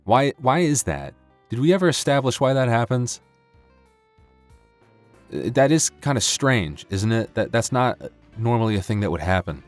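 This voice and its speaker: A man's voice: medium pitch, very animated, moderate pace, no echo, soft background music. A man who makes roleplay audio, chatting casually to his listeners in a rambling, jokey, self-deprecating way. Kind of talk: casual outro chat to listeners.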